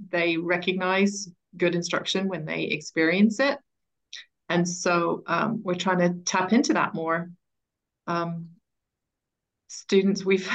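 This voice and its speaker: A woman giving an expert interview in a conversational style, explaining her points in a measured, deliberate way. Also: fairly low pitch. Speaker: interview guest, education author and coach.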